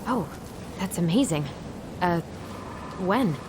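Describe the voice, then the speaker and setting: A woman's voice: on the low side, lively intonation, slow pace, background noise. An actress playing a guarded young American woman in a video game, with a hesitant, conversational way of talking. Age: young adult. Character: guarded young woman, loyal to her family, easily exasperated. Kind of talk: naturalistic video game dialogue.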